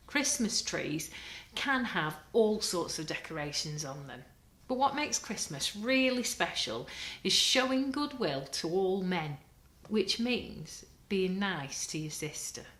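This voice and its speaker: A firm but patient mum, as a woman reads her, with a lively, sing-song lilt as she sets her children straight. It sounds close to the reader's own voice, in an echoing room. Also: on the low side, slow pace. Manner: firm, motherly. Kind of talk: storybook read-aloud. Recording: roomy echo.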